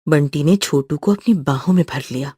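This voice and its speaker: A woman's voice: low voice, normal speed, dark tone, breathy edge, no room sound, studio quality. A woman narrator reading a scripted horror story.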